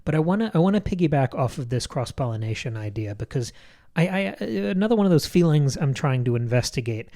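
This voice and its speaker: A man's voice: a little high, lively intonation, fast, mellow. A man co-hosting a book club podcast, thinking aloud in articulate, layered literary analysis. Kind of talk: literary discussion, analytical chat.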